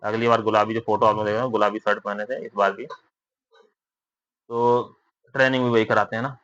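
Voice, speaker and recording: A man's voice: lowish voice, little pitch movement, normal speed, a bit bright, no room sound. A young man giving viewers an informal spoken update, conversational and often checking for agreement with tag questions. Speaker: vlogger giving recruitment updates. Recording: dull lo-fi recording.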